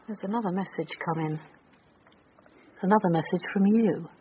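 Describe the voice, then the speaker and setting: A woman with a British accent playing a brisk, keen police detective in a naturalistic radio drama. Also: fairly low pitch, close to an everyday voice. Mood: brisk, wary. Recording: dull radio drama sound, faint noise.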